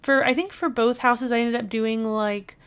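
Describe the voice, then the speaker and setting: A woman's voice: on the high side, fairly even intonation, normal speed, clean tone. A young woman chatting casually over a game speed build, rambling with lots of filler words, her voice still recovering after she lost it. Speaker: video game builder and streamer.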